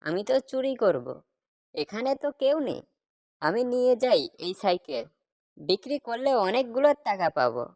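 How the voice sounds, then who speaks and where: A scheming, greedy cartoon thief, acted by a woman. The voice is fairly high and leaps widely in pitch, recorded dry and close. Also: big pitch swings, normal speed, a little mellow, dry recording. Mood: gleeful scheming. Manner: scheming, talking to self. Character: sneaky, greedy bicycle thief. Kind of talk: animated cartoon dialogue.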